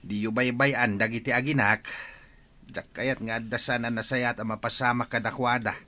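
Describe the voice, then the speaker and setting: Forceful, bright-voiced Ilocano village patriarch played by a man. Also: mid-range voice, fairly even intonation, moderate pace, natural voice. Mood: instructing. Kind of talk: Ilocano radio drama acting.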